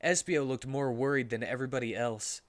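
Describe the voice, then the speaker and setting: A man's voice: medium pitch, brisk, no echo. A man narrating a story aloud in a mock-serious, theatrical style, playing absurd lines straight. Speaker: streamer reading a story aloud.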